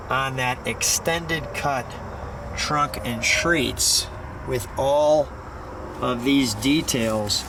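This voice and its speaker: Chatty American man, noisy outdoor recording. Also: medium pitch. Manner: enthusiastic, chatty. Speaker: host of a car video series. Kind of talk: casual walkaround commentary and interview. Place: outdoors beside a parked car.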